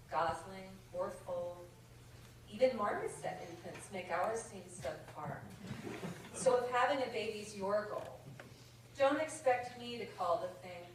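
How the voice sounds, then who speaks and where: Slow, deliberate woman reading poetry, very echoey. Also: mid-range voice, a bit bright, slight background noise. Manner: measured, deliberate comic timing. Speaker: poet reading her own work. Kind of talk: comic verse reading to an audience. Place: indoor conference reading room. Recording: very echoey room from far off. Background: audience laughter.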